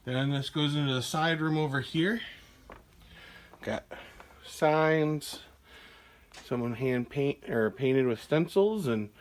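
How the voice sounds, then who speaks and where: A man hosting a podcast, casually walking through a small craft store and describing it, with relaxed, rambling talk full of fillers. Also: mid-range voice, slow pace, long pauses. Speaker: podcast host giving a store tour. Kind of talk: casual walkthrough narration.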